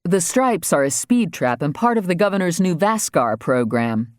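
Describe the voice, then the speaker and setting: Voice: mid-range voice, lively intonation, moderate pace, no echo, studio quality, voice pushed up, natural voice. An audiobook narrator playing a scheming male dentist posing as a hostage, speaking in an urgent, formal, overdramatic way. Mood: insistent.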